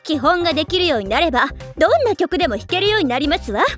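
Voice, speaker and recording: A woman's voice: sits high, expressive, racing, faint music behind. A woman voicing a haughty, strict teenage rich girl with prim, formal, self-satisfied speech in an anime. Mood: stern, prim. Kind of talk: anime voice acting.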